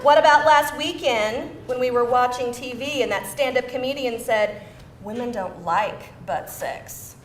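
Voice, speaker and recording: A woman's voice: on the high side, measured pace, close to an everyday voice. A woman playing an exasperated, suspicious lover with a sarcastic, incredulous manner in a comedic live screenplay reading. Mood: exasperated, indignant. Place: indoor venue, live reading. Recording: echoey room, faint noise.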